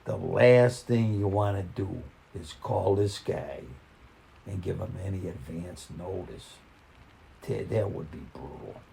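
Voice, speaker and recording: Low, slow, gravelly storyteller. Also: male voice, dark tone, a little hiss or hum. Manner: halting, conversational, folksy delivery. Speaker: storyteller reading own short story. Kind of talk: folksy first-person story reading.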